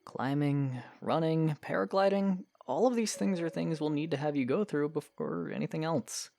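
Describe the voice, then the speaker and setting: A man playing a calm, stoic young swordsman in an audio drama, patient but firm, with measured, plain speech. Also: a little high, creaky, no room sound. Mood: patient, reassuring. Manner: measured, plain-spoken, understated. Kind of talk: scripted audio drama acting.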